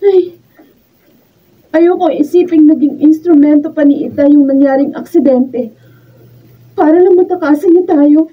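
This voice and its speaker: A woman's voice: high-pitched, unhurried, pauses often. A woman acting a hurt, indignant daughter in a Filipino radio drama, tearful and accusing toward her mother. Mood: shaken disbelief. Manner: tearful, accusing, emotionally heightened. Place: radio drama recording studio, filmed on camera. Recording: distant, dull lo-fi room pickup.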